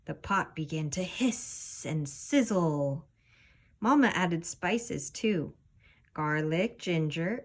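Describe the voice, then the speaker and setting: A woman reading a children's picture book aloud in an expressive, deliberate storytelling manner. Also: low voice. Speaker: storybook reader.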